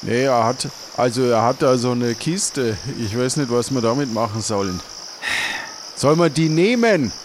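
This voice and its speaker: A man's voice: medium pitch, expressive, moderate pace, background noise. A man playing and narrating in a casual improvised adventure audio play, thinking aloud and repeating himself.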